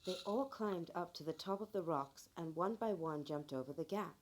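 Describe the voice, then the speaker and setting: A woman narrating a children's folk tale from a script in a dramatic, expressive storytelling manner. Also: fairly low pitch, normal speed.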